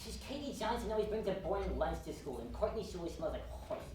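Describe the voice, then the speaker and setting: A woman's voice: lowish voice, expressive, fairly quick, darkish tone, lightly acted. A woman playing a forward, blunt young school kid in an amateur stage comedy. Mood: eager, blunt. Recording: very echoey, noisy hall, distant mic.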